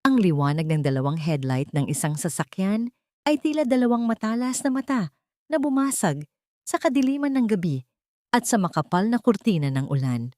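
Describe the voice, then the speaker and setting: A woman's voice: medium pitch, lively intonation, moderate pace, darkish tone, no room sound, clean studio sound. A woman storyteller reading dramatic scripted narration for an audio love story.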